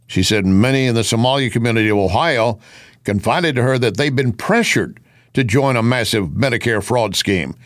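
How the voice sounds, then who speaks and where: A man's voice: mid-range voice, no echo, studio quality. A man hosting an opinion news show, talking conversationally and posing rhetorical questions as he comments.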